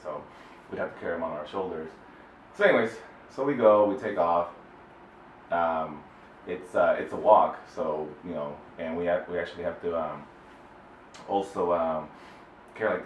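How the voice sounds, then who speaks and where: A man from El Salvador telling a personal story off the cuff, in a halting, relaxed way with many fillers and restarts. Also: deep voice, slight background noise. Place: Houston interview space. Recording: roomy distant mic with faint ambience.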